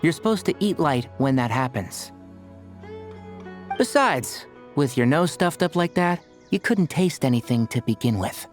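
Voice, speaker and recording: Dry young game dude, fed-up friend type, casual n wry, pitch moves around a lot. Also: male voice, animated, a little slow, soft background music. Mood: wry scolding. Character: young man, dry, long-suffering friend who scolds casually. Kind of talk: video game character voice acting.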